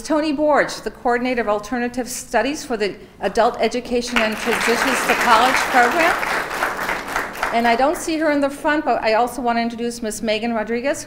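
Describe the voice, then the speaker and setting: A woman's voice: on the high side, brisk, over a noisy crowd, heavy background noise. A woman introducing speakers at a graduation ceremony, reading out titles and stumbling now and then over names. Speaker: program presenter introducing speakers.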